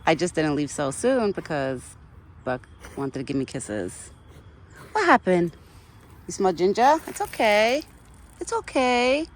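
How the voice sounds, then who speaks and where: A middle-aged woman chatting casually outdoors to her viewers. She keeps breaking into high sing-song baby talk for an animal. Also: very animated, a little slow, pauses often, slight background noise. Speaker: vlogger talking to a wild deer. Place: outdoors in a yard.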